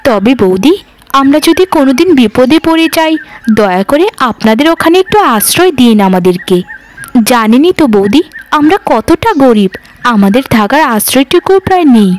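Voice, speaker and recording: A woman's voice: high voice, lively intonation, moderate pace, a little mellow, slight background noise. A woman voicing a poor, humble village woman in a cartoon, long-suffering and deferential, speaking in a pleading, lamenting way. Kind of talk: cartoon voice acting.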